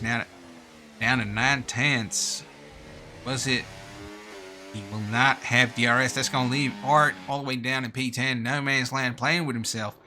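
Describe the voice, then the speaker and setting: A man's voice: medium pitch, bright, forceful tone, slight background noise. A man doing live sim-racing commentary in a put-on American accent, informal and slangy, with casual asides. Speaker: race commentator and streamer.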